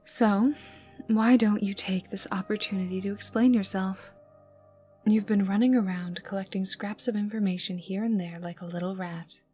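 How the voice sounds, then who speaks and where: A woman playing a menacing, teasing young enforcer for a crime boss in an ASMR roleplay, taunting the listener in a breathy voice that dips toward whispers. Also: medium pitch, fairly even intonation, normal speed, mellow, close to an everyday voice. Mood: curious, teasing. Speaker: voice actor in audio roleplay. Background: ambient music and mild sound effects.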